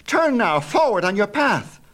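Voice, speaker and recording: A man acts out a reckless young charioteer from a myth storybook. His voice is raised high and urgent, shouting desperate commands. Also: big pitch swings, a little slow, heavily acted. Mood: desperate commanding. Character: reckless, desperate youth driving a runaway chariot.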